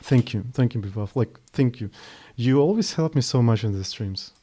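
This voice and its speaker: A man's voice: mid-range voice, lively intonation, mellow. Tired Slavic-accented man thinking aloud. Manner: halting, thinking aloud, trailing off mid-sentence. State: mentally tired. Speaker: live-streaming programmer. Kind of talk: casual live coding stream.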